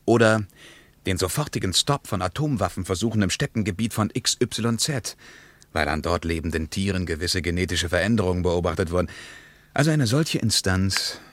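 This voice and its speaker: An actor playing an argumentative, ironic intellectual in a German radio play, speaking emphatically with rhetorical pauses. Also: male voice, sits low, lively intonation, a bit bright, no echo. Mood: emphatic, ironic. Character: male traveller, argumentative, ironic intellectual debating politics.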